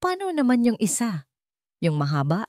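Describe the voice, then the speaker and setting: A woman voicing a gentle young nanny in a story, speaking softly and playfully as if to a child. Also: a little high, wildly swooping pitch, moderate pace, no echo, studio quality, voice pushed up. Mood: gently playful. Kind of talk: storybook narration dialogue.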